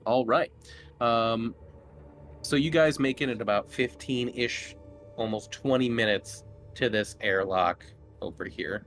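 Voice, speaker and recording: A man's voice: mid-range voice, measured pace, a bit bright, faint music behind. A man running a tabletop horror game as game master, casually improvising scene descriptions in a conversational way.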